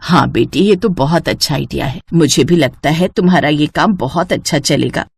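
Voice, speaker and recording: A woman voicing an ailing, gentle mother in a cartoon story, with a soft and motherly manner. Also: sits low, moderate pace, gravelly, slightly rough, no echo, voice pushed down, heavily acted. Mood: supportive. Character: ailing, gentle, supportive middle-aged mother.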